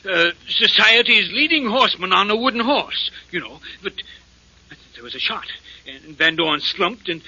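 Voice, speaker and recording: A man's voice: high-pitched, expressive, moderate pace, pushed and bright, breathy edge, no echo, exaggerated voice. An actor playing a nervous, evasive businessman who stammers and hesitates through a 1940s radio drama. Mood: frightened confession. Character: nervous, evasive businessman protesting his innocence. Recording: dull vintage 1940s radio recording.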